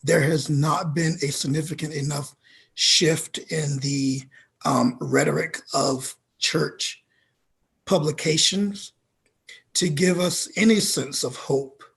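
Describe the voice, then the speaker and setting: A man giving measured, critical commentary as a panelist, with deliberate and formal wording. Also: fairly high pitch, creaky, fairly bright tone, husky.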